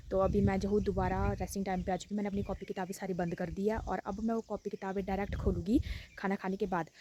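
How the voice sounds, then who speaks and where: A woman's voice: medium pitch, background noise. A young woman narrating a casual village vlog, explaining things to viewers and repeating herself. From Uttarakhand, India. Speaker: village vlogger.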